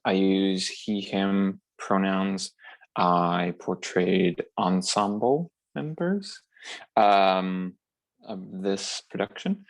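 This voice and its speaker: A man with a low, gravelly voice offers heartfelt reflections to a panel. He goes very slowly and deliberately, halting earnestly between phrases. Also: rough recording. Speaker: actor.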